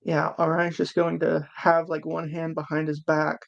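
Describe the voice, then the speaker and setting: A woman's voice: low voice, completely flat delivery, normal speed, gravelly, highs cut off. A woman chatting casually as a player in a tabletop role-playing game, describing moves to the group. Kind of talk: casual chat.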